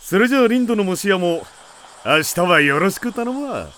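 An actor playing a boisterous middle-aged king and father in an anime audio drama, with rough, slangy bravado. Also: high voice, expressive, moderate pace, fairly bright tone, slight background noise. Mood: jovial. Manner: gruff slangy downtown bravado. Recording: music behind the voice.

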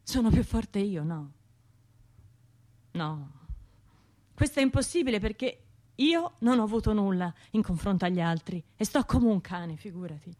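An actress voices a restless, self-doubting woman survivor with a Tuscan accent. She speaks in halting bursts, debating with herself in an intimate radio play monologue. Her medium-pitched voice moves in very lively, wide swings, full of anguish and guilt. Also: lightly acted. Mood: incredulous, bitter. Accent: Tuscan Italian.

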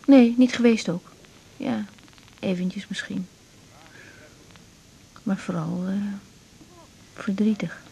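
A woman's voice: mid-range voice, takes it slowly, gravelly, long pauses, dark tone, slightly rough, heavily acted, rough recording. An actress playing a woman in intimate domestic conversation with her partner in a radio drama. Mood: subdued, domestic. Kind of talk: 1980s Dutch radio drama acting.

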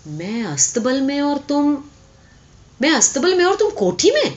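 A woman's voice: high voice, wildly swooping pitch, highs cut off, pitched above the performer's own voice. A woman voicing a timid, astonished male donkey suitor in a story, with hesitant, stammering questions. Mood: astonished, protesting.

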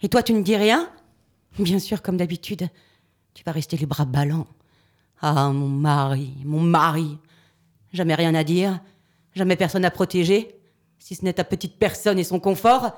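A woman's voice: fairly low pitch, moderate pace, long pauses, lightly acted. An actress playing an indignant, protective mother and bitter wife in a French audio drama, scolding and reproachful.

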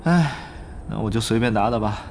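An actor playing a young male gamer and undercover guild spy in an audio drama, animated and exclamatory, stammering when flustered. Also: lowish voice, a little fast, a little hiss or hum, natural voice. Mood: nervous, suspicious. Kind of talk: Chinese audio drama acting with inner monologue.

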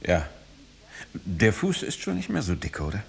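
An actor playing a laconic, wary fugitive in a German radio drama, gravelly and guarded. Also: male voice, deep voice, huge pitch swings, unhurried, exaggerated voice. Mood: guarded, grim. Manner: terse, guarded. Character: laconic, wary male fugitive, film-star double.

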